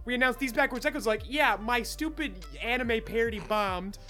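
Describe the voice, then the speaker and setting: Podcast host, medium pitch, emphatic, sweary, casual chat, music behind. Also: normal speed, bright, forceful tone. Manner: emphatic, profane.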